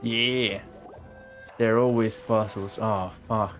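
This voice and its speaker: Male game streamer, lowish voice, casual and sweary, talking to himself over game music. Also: fairly even intonation, slow pace, a bit bright. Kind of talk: casual live game commentary.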